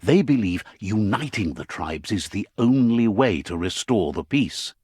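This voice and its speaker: An actor playing a whimsical, wise storyteller who narrates an animal fable in a video game with theatrical, philosophical delivery. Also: male voice, lowish voice, big pitch swings, measured pace, darkish tone, slightly rough, no echo, heavily acted. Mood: wry, solemn.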